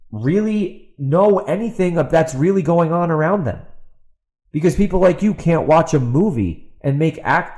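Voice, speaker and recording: A man's voice: on the high side, a little mellow. Exasperated man ranting solo. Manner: exasperated, sarcastic, emphatic repetition; rhetorical questions put to an absent opponent. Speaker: podcast host and author. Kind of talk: ranting opinion monologue, off the cuff.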